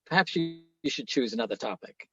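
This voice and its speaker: Actor playing pompous, absent-minded old professor, muffled recording. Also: male voice, mid-range voice, big pitch swings, creaky, bright, forceful tone, husky, no room sound, exaggerated voice. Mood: dismissive. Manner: pompous, halting, lecturing. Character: eminent, absent-minded older male philosophy professor, pompous and self-absorbed. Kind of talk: radio play acting.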